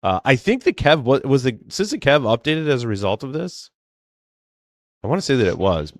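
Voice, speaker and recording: A man hosting a casual tech podcast, talking in an animated way with many restarts and asides. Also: mid-range voice, normal speed, no echo, studio quality. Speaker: podcast host.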